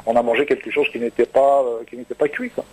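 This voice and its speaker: An older man, a singer-songwriter whose first language is Kabyle, talking in a halting way with many repeated words in a radio interview. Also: mid-range voice, fairly even intonation, background noise. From Normandy, France.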